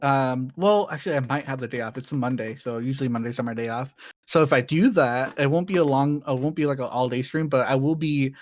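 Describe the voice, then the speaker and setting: A man's voice: mid-range voice, fast. A man in his late twenties, a streamer, chatting casually as an interview guest, rambling a bit and laughing easily. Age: 20s.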